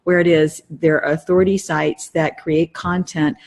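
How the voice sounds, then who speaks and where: A woman's voice: sits low, little pitch movement, moderate pace, a little mellow. A woman co-hosting a podcast, explaining things casually in a wordy way and rephrasing herself as she goes. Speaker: podcast co-host.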